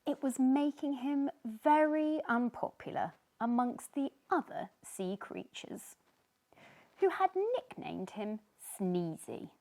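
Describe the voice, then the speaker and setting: A woman reading a children's story aloud as host, with animated, dramatic emphasis. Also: fairly high pitch, slow pace.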